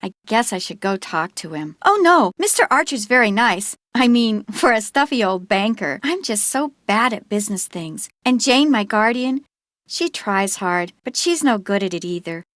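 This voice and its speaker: A woman's voice: mid-range voice, sing-song, moderate pace, a bit bright, no echo, clean studio sound. A woman playing an anxious, grieving teenage girl in an adventure game, speaking in an earnest, hesitant way. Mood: wistful, fretful. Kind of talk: scripted adventure game dialogue.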